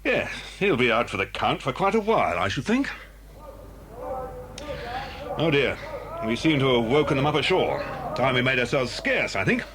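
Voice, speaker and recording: An actor with a British accent playing a brave, hearty grown-up family friend in a children's audio drama. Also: male voice, mid-range voice, very animated, normal speed, long pauses, a bit bright. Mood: brisk, confident. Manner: hearty, commanding. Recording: noisy 1980s cassette drama, engine ambience.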